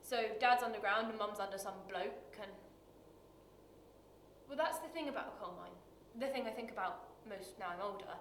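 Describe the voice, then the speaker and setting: A woman acting a dramatic screen monologue as a bitter, wounded working-class woman, confessional and halting, with weighted pauses. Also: medium pitch, a bit bright, natural voice. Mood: wry, resigned. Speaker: actor performing a monologue. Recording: roomy echo with light background noise.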